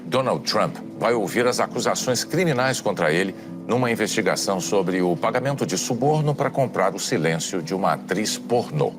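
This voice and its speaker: Low Brazilian newsman over music. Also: animated, normal speed. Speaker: news reporter. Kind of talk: scripted news narration.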